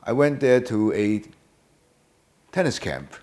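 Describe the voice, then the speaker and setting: An older man in a very deep voice. He answers unhurriedly and hesitantly, filling his pauses with many "uh"s. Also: very animated, darkish tone. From Hong Kong. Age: about 70. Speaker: guest. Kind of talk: casual interview answers.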